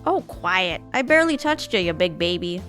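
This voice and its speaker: A woman's voice: lowish voice, sing-song, moderate pace, fairly bright tone, soft background music. A woman playing a bossy, nagging friend in an audio drama, blunt and insistent. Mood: insistent, scolding. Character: pushy, bossy female tenant and nagging friend.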